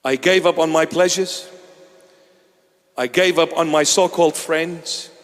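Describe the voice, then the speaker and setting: A bishop in his fifties preaching a sermon emphatically, dropping low and intense and then rising to exhort. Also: a little slow, a bit bright, big-room sound. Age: 50s. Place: church.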